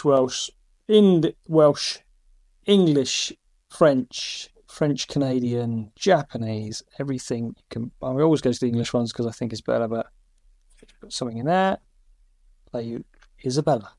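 A middle-aged man hosting a casual screen-recorded review, enthusiastic, with quick asides between demos. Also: medium pitch, darkish tone, no room sound. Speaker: YouTube host reviewing AI voice tools.

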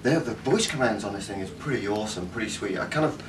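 A man's voice: on the low side, animated, fast. A man in his thirties with a Cardiff Welsh accent, casually reviewing a gadget at home, enthusiastic and rambling with many fillers. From Wales. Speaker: tech reviewer. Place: home living room. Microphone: camera mic from a few metres. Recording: distant camera mic, faint room noise.

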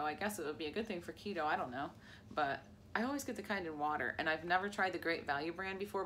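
A woman in her forties with an American accent talks to a camera held close in her kitchen, chatty and quick to laugh. Her voice sits on the low side for a woman. A faint hum of the room sits behind her. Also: fairly bright tone. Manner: chatty, laughs often, lists prices as she goes. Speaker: lifestyle vlogger. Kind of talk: casual grocery haul vlog. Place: home kitchen, indoors. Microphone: camera at arm's length.

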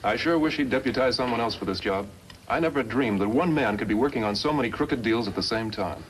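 A man's voice: medium pitch, fast talker, close to an everyday voice. Male actor as plucky young mine heir, earnest, mid-range voice, 1950s western radio acting, slightly noisy vintage sound. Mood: relieved, friendly.

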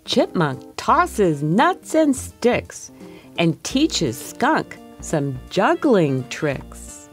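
A middle-aged woman reading a children's story aloud in an expressive, deliberate storytime style. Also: on the low side, faint music behind.

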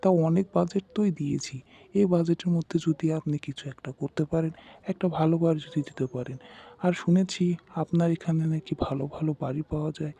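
A man plays an earnest, fretful husband in a horror cartoon. The voice is fairly high for a man, very muffled in tone and slightly husky to rough. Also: fast, warm tone. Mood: earnest, hopeful. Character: anxious, earnest husband moving into a new house.

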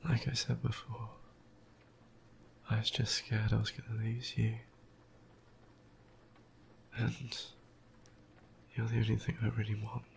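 A tired young man with a croaky voice, softly whispering an affectionate boyfriend roleplay close to the mic. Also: on the low side, completely flat delivery, takes it slowly, pauses often, slight background noise. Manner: soft, affectionate whispering with quiet laughs. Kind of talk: ASMR roleplay.

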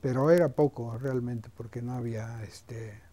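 Older Mexican male interviewee, relaxed mid-pitched voice, halting recollections. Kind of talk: casual interview reminiscence. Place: small cluttered indoor room.

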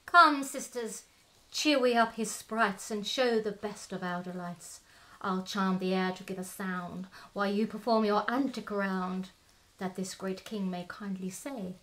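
A woman's voice: medium pitch, fairly bright tone, low-quality recording. A woman playing an eerie, commanding witch, chanting in a theatrical Shakespearean radio drama. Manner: incantatory, declamatory.

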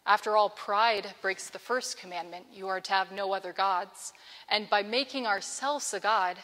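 A young woman vicar preaching a sermon in an earnest, measured way, on a lapel mic in a large church sanctuary. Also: medium pitch, fairly bright tone. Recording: long church reverb.

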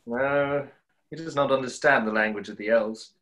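A young man acts an enigmatic, bitter conjurer in a stage play reading. His pitch is medium. The delivery is dry, deliberate and sardonic. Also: pushed and bright, natural voice. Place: plain room at home, video call.

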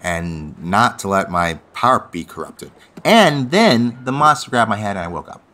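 A man's voice: fairly low pitch, very animated, normal speed, bright, forceful tone. A man playing an unsettled young man in an audio drama, speaking hesitantly and repeating words as he puzzles something out. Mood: hesitant, puzzled. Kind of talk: naturalistic audio drama acting.

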